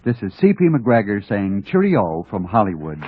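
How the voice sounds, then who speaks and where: A man announcing as host of an old radio show in a formal, courteous showman's manner, with a husky voice. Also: lowish voice, big pitch swings, normal speed, very noisy. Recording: tinny vintage radio recording.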